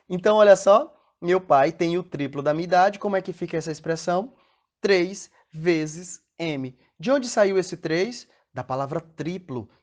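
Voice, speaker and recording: A man with a Brazilian Portuguese accent teaching a recorded math lesson, patiently explaining step by step and often checking in with the class through questions. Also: fairly high pitch.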